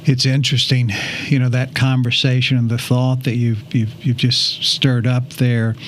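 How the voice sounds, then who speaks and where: Guy hosting a chatty podcast, medium pitch, thinks out loud in halting bits, bit of noise behind him. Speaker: podcast host. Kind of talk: casual conversational interview.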